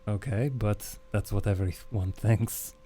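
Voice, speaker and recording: A man plays a vampire survivor in a tabletop game with a dark, mellow voice set fairly low. He is calm and wry, speaking in a measured, understated way. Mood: candid. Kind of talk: in-character tabletop roleplay.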